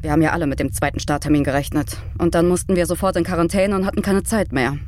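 An actress playing a brisk, defensive astronaut crewmate in an audio drama. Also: female voice, lowish voice, darkish tone, slight background noise, close to an everyday voice. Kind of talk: German audio drama acting.

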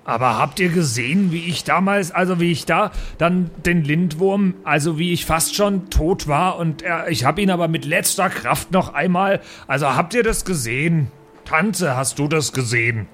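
Rambling, higher-voiced male role-player. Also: normal speed, fairly bright tone, slight background noise. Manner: rambling, repeats and restarts. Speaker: player in a tabletop role-playing game. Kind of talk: improvised in-character chat.

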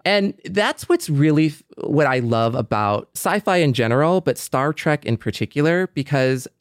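A man co-hosting a podcast, chatting with enthusiasm and speeding up when he gets excited. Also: on the high side, no room sound, studio quality. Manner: enthusiastic, fast when excited, frequent "mm-hmm". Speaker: podcast co-host. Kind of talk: casual chat.

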